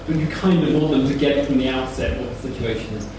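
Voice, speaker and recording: A man's voice: medium pitch, fast. Guy giving casual emphatic advice on a panel, bit far from mic, really echoey. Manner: informal, emphatic short instructions. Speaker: festival panellist. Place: indoor live event venue. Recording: very echoey room, distant mic, noise.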